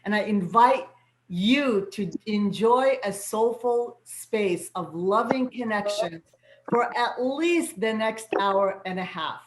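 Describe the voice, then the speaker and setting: Host: native Arabic-speaking woman, soul guide and coach, gentle and affirming; echoey video-call sound. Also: medium pitch, slow pace, slight background noise. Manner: gentle, affirming, repeats words for emphasis. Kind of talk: inspirational welcome to an online gathering.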